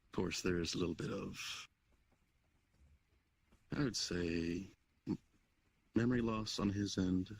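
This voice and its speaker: A man plays a horned half-demon with a deep, gravelly voice. He is reserved and respectful, giving measured, cryptic answers in an improvised fantasy roleplay. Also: slight background noise. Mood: calm, cryptic. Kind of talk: improvised virtual-reality roleplay.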